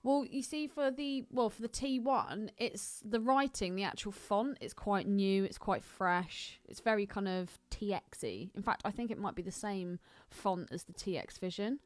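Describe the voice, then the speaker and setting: A woman's voice: mid-range voice, moderate pace, hardly any pauses, no room sound. A woman co-hosting a podcast, chatting casually about a film in a blunt, critical way. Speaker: podcast co-host. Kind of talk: casual film commentary chat.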